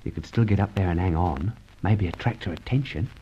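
A man's voice: low voice, fairly quick, warm tone, slight background noise, natural voice. A man playing a cheeky working-class sidekick in an old radio serial, chatty, colloquial and jocular. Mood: cocky. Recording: dull, lo-fi old radio recording.

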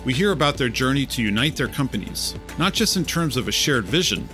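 A man hosting a podcast, reading scripted narration in a clear, even, polished way and putting questions to his guest. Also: mid-range voice, a little fast, soft background music. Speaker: podcast host.

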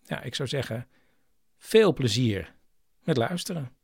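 A man's voice: medium pitch, expressive, unhurried, vocal fry. A man hosting a podcast in casual, chatty speech. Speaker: podcast host. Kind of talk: casual chat.

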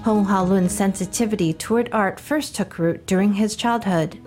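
A woman reads a news feature at a steady, even pace. Her voice is of middle pitch, and loud music plays under it throughout. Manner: even, measured reading. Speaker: news narrator. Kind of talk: scripted news narration. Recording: narration over loud music.

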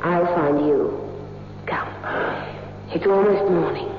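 An actor playing a coldly knowing, controlling manipulator in a 1960s radio horror drama, deliberate and insinuating. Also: male voice, on the high side, echoey room. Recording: muffled, hissy 1960s radio recording.

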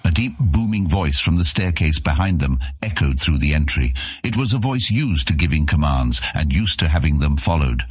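A man with a deep, husky voice reading scripted fantasy audiobook narration. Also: lively intonation, normal speed, no room sound, clean studio sound.

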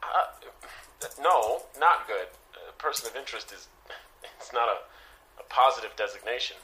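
Man playing a jittery young superhero intern, stammering and bright-voiced. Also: mid-range voice, lively intonation, low-quality recording. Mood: awkward concern. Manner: stammering, halting. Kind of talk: audio drama dialogue.

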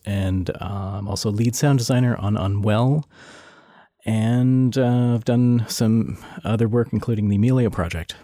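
A man being interviewed, talking casually and hesitantly with many pauses and restarts. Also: lowish voice, dark tone, dry recording, clean studio sound. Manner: hesitant, lots of uhs and restarts. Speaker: interview guest, sound designer.

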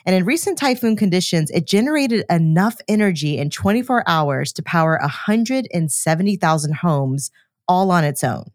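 A woman presents on a podcast in a slightly low voice. The sound is dry and close. She moves between scripted reading and casual, expressive banter. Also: normal speed, no room sound. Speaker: podcast co-host.

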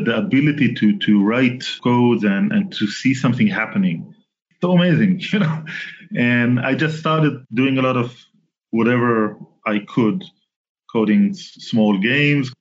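A man in his forties from Israel, a company founder talking as a podcast guest, halting with many restarts and fillers. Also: medium pitch, muffled recording. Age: 40s. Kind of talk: casual interview talk.

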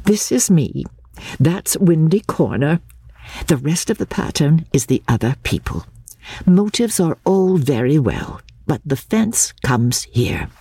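No-nonsense middle-aged English mother for a novel, read by a female narrator: blunt, placid, mellow, animated. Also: sits low, takes it slowly, husky, no room sound, voice pushed up, exaggerated voice. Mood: instructive. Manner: matter-of-fact, no-nonsense.

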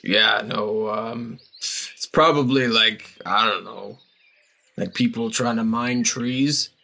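A man's voice: fairly low pitch, slow pace, vocal fry, sharp tone, heavily acted. A man voicing a dim-witted teenage half-orc boy who rambles hesitantly and fills his talk with fillers.

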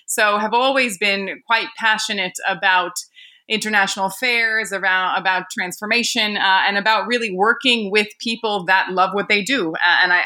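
A woman's voice: mid-range voice, bright, forceful tone. An American woman from the United States, a guest executive speaking fluently in a podcast interview. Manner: articulate, fluent with fillers.

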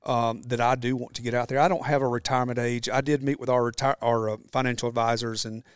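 A man in his early forties talking casually as a guest about running his businesses, in a relaxed, rambling way. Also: medium pitch, quick pace, clean tone, no room sound, studio quality. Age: 40s. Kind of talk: casual conversation. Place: warehouse.

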